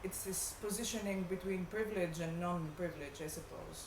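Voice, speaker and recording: A woman from Greece with a Greek accent, a non-native English speaker, answering interview questions reflectively and haltingly as she searches for words. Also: lowish voice. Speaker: artist being interviewed. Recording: echoey room with clear background noise.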